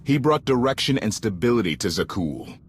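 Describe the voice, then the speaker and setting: Hot-headed, sarcastic rebel. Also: male voice, lowish voice, very animated, fairly quick, a little hiss or hum. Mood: defiant. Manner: confrontational, sarcastic. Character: hot-headed, distrustful loyalist rebel pilot. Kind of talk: dramatic video game acting.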